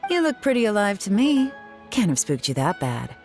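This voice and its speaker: A woman's voice: mid-range voice, sing-song. An actress playing a self-assured, teasing woman investigator in a video game, speaking in a composed, wry manner. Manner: composed, wry, measured storytelling. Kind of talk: video game character dialogue. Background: music.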